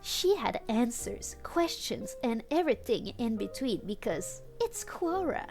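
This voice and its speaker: A woman streamer reads fan fiction in a slightly high voice at an unhurried pace. She is giggly and casual, dropping amused comments between lines, with music playing behind her. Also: sing-song, gravelly, a little mellow. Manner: giggly, easily cracked up, acts out dialogue. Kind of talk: casual comedic read-aloud with amused commentary.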